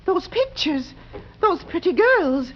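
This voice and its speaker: An actress playing a timid, anxious landlady in an old radio drama, hesitant and halting, with gasps. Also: very high-pitched, very animated, heavily acted. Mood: frightened, hesitant. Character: anxious, timid landlady unnerved by her lodger. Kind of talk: 1940s radio acting. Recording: muffled vintage radio recording, music behind.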